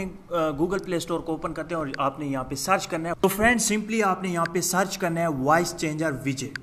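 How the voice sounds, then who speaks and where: A man's voice: a little high, bright, forceful tone, a little hiss or hum. A man hosting an app tutorial, addressing viewers directly and explaining each step in an instructional way. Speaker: tutorial channel host. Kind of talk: scripted-sounding phone app tutorial narration to viewers.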